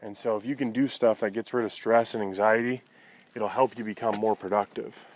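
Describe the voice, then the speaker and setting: A man's voice: fairly low pitch, steady tone, fairly quick, slight background noise, poor audio. A man vlogging in a casual, conversational and reflective way, talking to viewers and often checking in with "you know". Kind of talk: casual personal talk to viewers.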